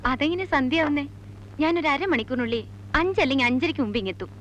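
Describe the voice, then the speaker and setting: A woman's voice: high voice, animated, very fast, a bit bright. A young actress playing a headstrong, girlish teenage daughter in a Malayalam film drama, talking insistently. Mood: defiant.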